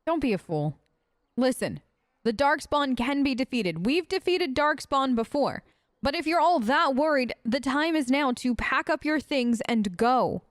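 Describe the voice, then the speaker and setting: A woman gamer talks through a role-playing game in character. Her voice is fairly high and recorded very dry, and she is chatty and expressive in her reactions. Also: unhurried, dry recording. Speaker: gamer, let's player.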